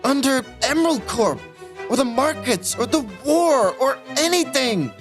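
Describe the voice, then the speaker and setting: A man's voice: very high voice, lively intonation, a little slow, soft background music, full character voice. A man playing a brash, defiant spaceship logistics worker in a sci-fi audio drama, speaking with urgent insistence. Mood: urgent, persuasive.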